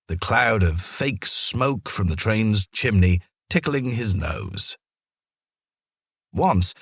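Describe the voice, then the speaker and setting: Low-voiced male storyteller with lively, husky delivery. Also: no echo, clean studio sound. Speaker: storyteller, audiobook reader. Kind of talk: scripted story narration.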